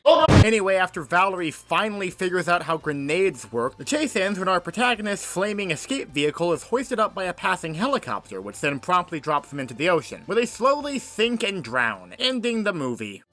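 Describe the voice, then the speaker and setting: Sarcastic man with a lively, high voice. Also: fairly quick, few pauses. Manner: sarcastic, exasperated. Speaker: movie reviewer. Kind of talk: comedic video commentary.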